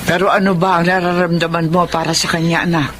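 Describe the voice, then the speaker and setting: A woman's voice: fairly low pitch, little pitch movement, fast, a bit bright, lightly acted. Concerned Filipino mother played by an actress, noisy background. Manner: concerned, questioning. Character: concerned, probing mother of a grown daughter. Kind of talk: Filipino radio drama acting. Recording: noisy scene with ambient sound.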